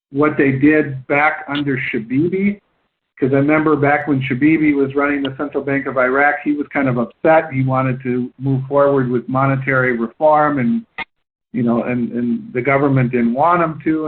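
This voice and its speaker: Man on a tinny phone line, explaining. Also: medium pitch. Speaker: conference call host. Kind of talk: explanatory commentary on a conference call.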